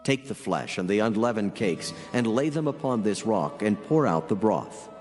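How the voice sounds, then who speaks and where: A man playing a calm, commanding angel, giving measured instructions in a dramatized scripture recording. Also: on the low side, lightly acted. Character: angel of God, calm, commanding male messenger. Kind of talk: dramatized scripture audio acting. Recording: long reverb over music.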